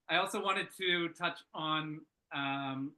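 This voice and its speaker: Bright, higher-pitched man thinking aloud. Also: slow. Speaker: script reader giving feedback at a table read. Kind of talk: casual workshop critique.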